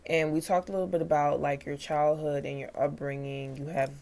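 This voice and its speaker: A woman's voice: low voice, flat delivery, a little fast, creaky, warm tone. A woman hosting a casual interview, asking questions in a playful, encouraging way. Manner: playful, encouraging, laughs often. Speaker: interview host.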